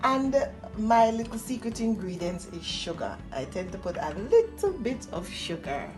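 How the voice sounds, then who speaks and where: A middle-aged woman with a Jamaican accent gives a casual cooking demonstration, explaining each step and pausing to find words. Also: mid-range voice, big pitch swings. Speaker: home cook demonstrating a recipe. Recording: music playing behind the voice. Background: music.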